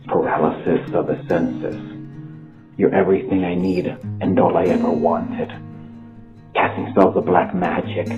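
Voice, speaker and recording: Slow deep man, echoing over guitar. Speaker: author performing own lyric piece. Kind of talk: dramatic spoken-word recitation over music. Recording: echoey, muffled, under loud guitar music. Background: plucked guitar music.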